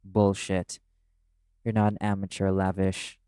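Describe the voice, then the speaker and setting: A man playing a brash, guarded wrath demon in an audio roleplay, speaking in a curt, gruff, cool-headed way. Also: low-pitched, completely flat delivery, a little slow, warm tone, no echo, studio quality. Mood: defiant. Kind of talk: dramatic audio roleplay acting. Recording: music under the voice. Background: music.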